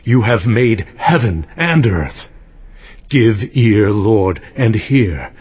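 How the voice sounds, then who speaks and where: Pious ancient king, imploring, husky, sweeping intonation, Bible audio drama, dull recording. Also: fairly low pitch, expressive, exaggerated voice. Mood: fervent pleading. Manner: slow, solemn, imploring. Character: pious king of Judah, pleading in fervent prayer. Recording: dull, band-limited audio.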